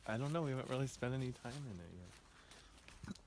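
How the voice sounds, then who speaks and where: A man in a mid-range voice talks fast in short, casual answers to his partner's questions. They are outdoors, and faint background noise runs beneath his voice. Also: mid-range voice, lively intonation, darkish tone. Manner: brief, quick replies. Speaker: vlogger's partner. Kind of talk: casual chat. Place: outdoors in the woods.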